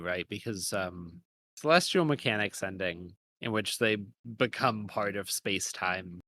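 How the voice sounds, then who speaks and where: Bright-voiced man in thoughtful discussion. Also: lowish voice, a little hiss or hum. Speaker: podcast co-host. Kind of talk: conversational analytical discussion.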